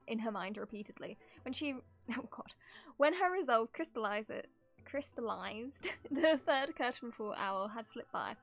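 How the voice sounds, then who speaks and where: A woman's voice: fairly high pitch, fairly bright tone. A woman streamer reading game story text aloud, with giggly, amused asides between passages. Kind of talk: reading game text aloud dramatically with casual live reactions.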